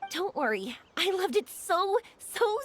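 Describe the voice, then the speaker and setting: A woman playing a bubbly, warm-hearted young woman in a video game, speaking in an exuberant, expressive way. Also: very high-pitched, a little slow, slight background noise, exaggerated voice. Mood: elated. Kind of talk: video game character acting. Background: game music.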